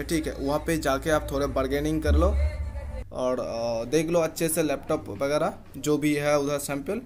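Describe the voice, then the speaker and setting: A young man vlogging, talking casually to his viewers and often checking in with them. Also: fairly high pitch. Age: young adult. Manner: chatty, keeps asking "ठीक है?". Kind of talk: casual vlog talk to camera. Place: indoors, plain room, then walking through a mall.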